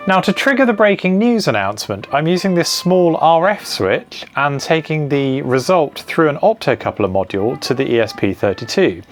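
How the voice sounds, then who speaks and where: A man calmly explaining an electronics build step by step, in a clear, methodical narration. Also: on the high side, normal speed, hardly any pauses, soft background music. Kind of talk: scripted technical narration.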